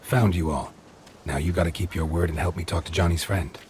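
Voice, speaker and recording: A man playing a gruff, patient, stoic monster hunter in a video game, speaking in a terse, restrained, gravelly growl. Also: deep voice, steady tone, a little fast, noisy background, lightly acted. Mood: calm, firm. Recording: music under the voice.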